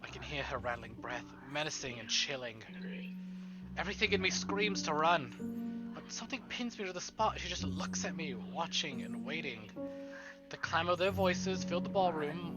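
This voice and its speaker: A man voicing a jealous, haunted married narrator of a gothic horror visual novel, reading in a deliberate, theatrical way. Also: on the high side, expressive, close to an everyday voice. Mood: dread. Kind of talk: dramatic first-person story reading. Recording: loud music behind the voice. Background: loud game music.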